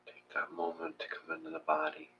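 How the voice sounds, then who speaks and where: A man leading a guided meditation and reading aloud in a measured, gentle, instructional way. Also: a bass voice, completely flat delivery, muffled recording, slight background noise.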